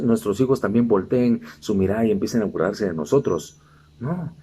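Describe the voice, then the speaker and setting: Gravelly, mellow-voiced preaching pastor. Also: male voice, lowish voice, a little hiss or hum. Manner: preaching with rising emphatic exhortations. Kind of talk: sermon.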